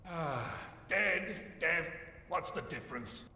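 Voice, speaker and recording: A man voicing a playful, cranky old ghost grandfather in a video game, with a creaky elderly voice and theatrical, teasing talk. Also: a little high, expressive, slow pace, pushed and bright, a little hiss or hum, exaggerated voice. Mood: mocking, playful. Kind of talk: video game character acting. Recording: reverberant hall echo.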